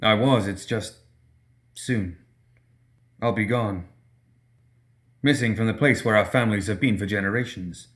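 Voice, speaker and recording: A male narrator voices a hurt, sullen man in an audiobook tale. He speaks in a lowish register with quiet bitterness. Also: measured pace, long pauses. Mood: bitter, hurt. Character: wounded, sullen man about to emigrate alone.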